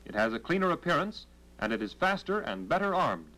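An American man narrates in the style of a 1940s military training film. His voice sits a little high and moves widely up and down as he reads the script. The delivery is formal, measured and instructional, on a vintage film soundtrack. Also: very animated, bright, forceful tone, low-quality recording.

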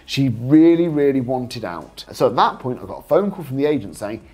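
A British man speaks in a highly varied, animated pitch with a slightly rough-edged voice. He coaches viewers informally, explaining step by step in a persuasive way and checking in with rhetorical questions. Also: brisk. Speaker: host, property investing coach. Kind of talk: informal instructional talk to viewers.

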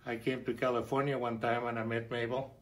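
An older man from Chile with a Chilean Spanish accent, chatting at home in a halting way, full of fillers and restarts. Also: mid-range voice, flat delivery. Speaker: interviewee. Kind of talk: casual conversation. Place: living room at home, indoors.

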